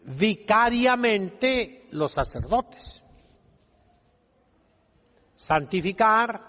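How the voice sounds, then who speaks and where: A man's voice: high voice, fairly bright tone. A man preaching a sermon as a priest, emphatic and didactic, putting rhetorical questions to his listeners. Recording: long reverberant echo of a large hall.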